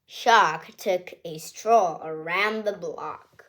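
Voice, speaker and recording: A woman's voice: on the low side, drawn-out words, a bit bright. A woman hosting her own channel, reading a picture book aloud to children in a cheerful, chatty, singsong storytelling way.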